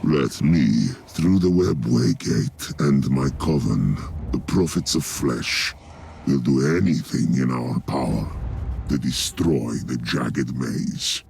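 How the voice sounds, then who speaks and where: An actor playing a sinister, condescending alien scientist with a deep, gravelly, drawn-out voice in a video game cutscene. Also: male voice, a little mellow, slight background noise, heavily acted. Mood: scheming. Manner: condescending, grandiose. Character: sinister male alien torturer-scientist, arrogant and cold.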